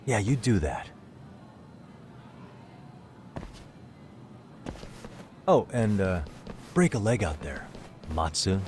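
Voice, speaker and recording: A man playing a calm, wry private detective in a video game, speaking in a casual, measured way. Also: fairly low pitch, slight background noise. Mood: cool warning. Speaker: detective and club advisor. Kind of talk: English video game dub acting.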